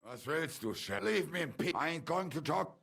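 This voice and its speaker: An actor playing a surly, gruff medieval man in a video game, with a gravelly voice. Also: a little high, expressive, normal speed, a bit bright, slight background noise. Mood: surly, curt. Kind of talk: video game dialogue acting.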